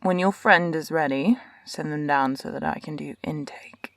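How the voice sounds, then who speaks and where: A woman plays a world-weary female doctor in a science fiction audio drama. Her voice is low for a woman, and she talks in a dry, composed way with wry understatement and careful, measured phrasing. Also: a bit bright, dry recording.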